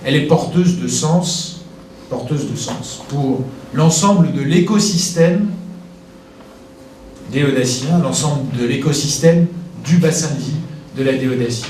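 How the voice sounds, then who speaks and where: A man speaking as an elected official in a formal ceremonial address, deliberate and rhetorically repeating phrases. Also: on the high side, darkish tone. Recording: echoey room, distant mic, background noise.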